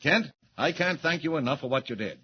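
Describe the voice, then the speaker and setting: An actor playing a brisk, authoritative newspaper editor in a 1940s radio drama. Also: male voice, a little high, lively intonation, lightly acted. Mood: genial. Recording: muffled noisy 1940s radio recording.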